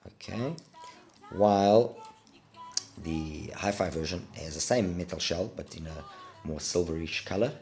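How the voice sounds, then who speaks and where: A man's voice: sits low, unhurried, vocal fry, long pauses, a little mellow, soft background music. A middle-aged man, congested with a cold, giving a casual, hesitant product review and repeating words for emphasis. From Portugal. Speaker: audio gear reviewer.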